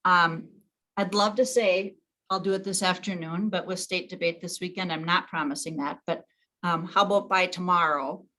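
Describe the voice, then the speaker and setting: Woman, meeting leader, bright clear-cut tone, conversational, thinks aloud as she explains. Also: on the low side, fairly even intonation, moderate pace, rough recording. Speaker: league official leading an online meeting. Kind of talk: informal online meeting talk.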